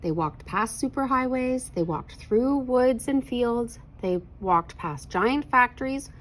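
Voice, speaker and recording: A woman narrating a children's picture book aloud in an expressive read-aloud style. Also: a little high, slow, poor audio.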